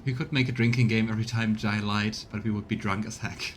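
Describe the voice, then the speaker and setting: A man's voice: fairly low pitch, steady tone, quick pace, slight background noise. A man giving casual let's play commentary and reading game dialogue aloud, with exasperated, sarcastic asides.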